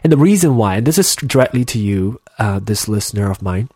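A man's voice: on the low side, animated, fairly quick, mellow, husky, no echo. A man from Malaysia in his thirties, with an accent that mixes Malaysian and American English, hosting a solo podcast in an earnest, hesitant way with many restarts and fillers. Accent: Malaysian English mixed with American. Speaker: podcast host. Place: home room with a heater running.

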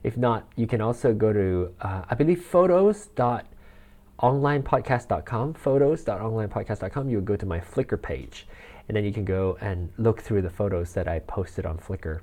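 Rambling forty-year-old man, lowish voice. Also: lively intonation, moderate pace, dark tone. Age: 40s. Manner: rambling, chuckles often, many fillers and restarts. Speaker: podcast host. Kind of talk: casual solo podcast chat. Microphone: handheld or desk mic with rustle.

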